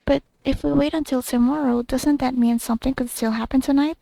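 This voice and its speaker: A woman's voice: high voice, steady tone, a little fast, creaky, dark tone, no room sound, rough recording. A woman playing a game and giving casual commentary, musing aloud as she reacts. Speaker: gamer playing a story quest. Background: game music.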